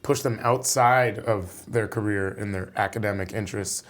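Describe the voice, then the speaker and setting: A young man from the United States talking as a guest in a casual interview, relaxed and rambling, with many fillers and restarts. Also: fairly low pitch, moderate pace. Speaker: podcast guest, jazz saxophonist.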